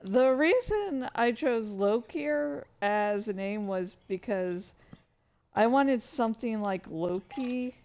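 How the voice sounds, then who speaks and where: A woman giving casual, rambling commentary as a writer, halting and self-deprecating, with some swearing. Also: on the high side, lively intonation, a little mellow. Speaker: writer reading and commenting on own story.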